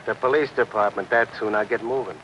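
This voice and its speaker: A man's voice: lowish voice, fairly even intonation, a little fast, a bit bright, natural voice. An actor playing a brusque, authoritative policeman giving curt orders in a 1950s television crime drama. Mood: stern, impatient. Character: brusque, commanding uniformed policeman. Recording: dull, noisy old 1950s film soundtrack.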